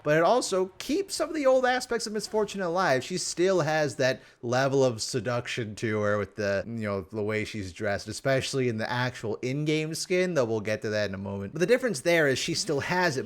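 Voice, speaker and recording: A man hosting a casual, rambling game review with animated, exclamatory, mock-exasperated asides. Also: medium pitch. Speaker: video host reviewing game characters.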